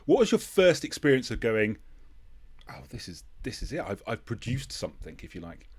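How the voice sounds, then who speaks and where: A man hosting a casual interview, speaking hesitantly and encouragingly and often trailing off softly. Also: medium pitch, sing-song, moderate pace, breathy edge. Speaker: podcast host, interviewer.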